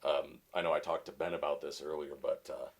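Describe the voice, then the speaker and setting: A voice actor with a very deep, resonant voice, answering questions in a casual interview with dry wit. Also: male voice, level tone, brisk.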